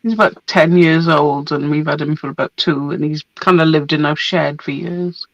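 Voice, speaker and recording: A woman, hoarse from a coming cold, chats casually through a run of products. Her voice is gravelly and fry-filled. The sound is dry and a little dull, like a lo-fi recording. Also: deep voice, normal speed, no room sound. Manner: chatty, relaxed, laughs often. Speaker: craft product presenter. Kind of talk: casual product show-and-tell.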